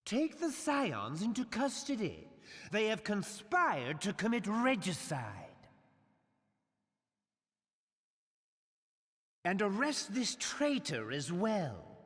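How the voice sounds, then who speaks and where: An actor playing an imperious, scheming merchant lord in a fantasy game, haughty, measured and commanding. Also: male voice, sits high, wildly swooping pitch, a bit bright, husky, exaggerated voice. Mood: cold command. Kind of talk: dramatic fantasy game acting. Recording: long hall reverb.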